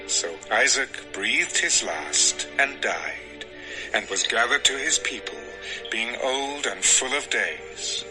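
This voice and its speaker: Expressive male scripture narrator over music. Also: mid-range voice, slow pace, rough recording.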